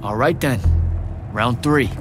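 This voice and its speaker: A young actor playing a wisecracking young battlemage in a video game, stammering and quick to joke. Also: male voice, mid-range voice, over music, natural voice. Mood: wry. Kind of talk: video game cutscene acting. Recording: game audio with orchestral score.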